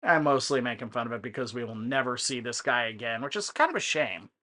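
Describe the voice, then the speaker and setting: A man giving casual, digressive commentary on a video game, explaining things in a halting way. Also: mid-range voice, expressive, bright, forceful tone. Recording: faint music in the background.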